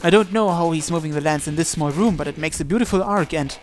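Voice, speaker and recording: A man reading a visual novel aloud in an expressive, dramatic first-person narration with occasional stumbles. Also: on the high side, fast talker, faint music behind.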